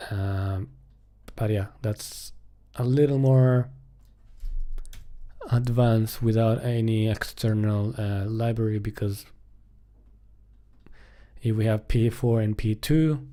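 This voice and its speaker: A man's voice: on the low side, warm tone. A man giving casual live-coding commentary, thinking aloud in a hesitant way as he works.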